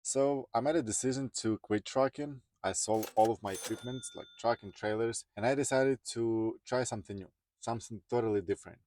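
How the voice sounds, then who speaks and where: A man's voice: medium pitch, fairly quick, a little mellow, a little hiss or hum. A man in his thirties from Ukraine with a Ukrainian accent, telling his personal story to viewers as a vlogger. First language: Ukrainian or other non-English. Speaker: vlogger, truck driver.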